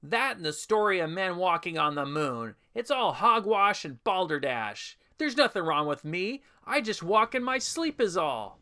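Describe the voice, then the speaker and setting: A man's voice: sits high, big pitch swings, normal speed, few pauses, bright, forceful tone, smooth, full character voice. A man playing a cranky, indignant husband, pompous and blustering, in a comedy audio drama. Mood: indignant denial.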